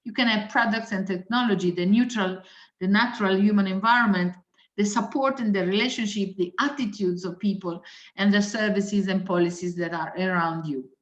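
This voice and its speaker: A woman's voice: medium pitch, a little fast. Italian woman doctor lecturing in english, lots of uhs, echoey room, kinda muffled audio. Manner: methodical, lists points, frequent hesitations. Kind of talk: webinar lecture. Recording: roomy, dull lo-fi audio.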